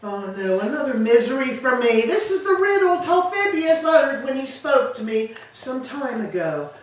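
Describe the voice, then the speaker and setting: A woman's voice: sits high, very animated, normal speed. A woman playing a grieving, stoic old queen and grandmother in a staged reading of Greek tragedy, with formal, lamenting delivery. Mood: anguished. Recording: echoey hall, distant mic, faint noise.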